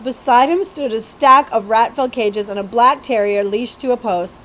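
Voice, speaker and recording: A woman reads a children's storybook aloud. Her voice is fairly high and her narration expressive. A light layer of ambient noise lies behind it. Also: normal speed. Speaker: librarian reading aloud. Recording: faint background ambient noise.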